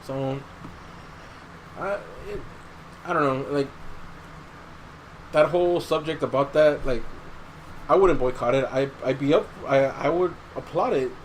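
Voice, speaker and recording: A man's voice: a little high, measured pace, pauses often, a little hiss or hum, low-quality recording. A man hosting a podcast in casual chat, swearing freely and giving his opinions in slangy talk. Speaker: podcast host.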